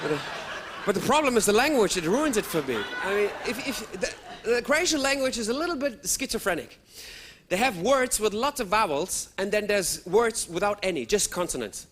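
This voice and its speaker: Animated high-voiced man. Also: normal speed, a little hiss or hum. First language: German. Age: 30s. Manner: animated, with sudden loud outbursts and put-on voices. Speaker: stand-up comedian. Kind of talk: stand-up comedy routine. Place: stage before a live audience.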